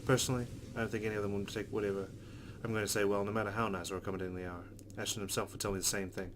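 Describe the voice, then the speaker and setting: A man's voice: lowish voice, racing. A man reading visual novel narration aloud and adding casual reactions of his own. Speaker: let's play host reading game text aloud. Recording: steady loud background noise.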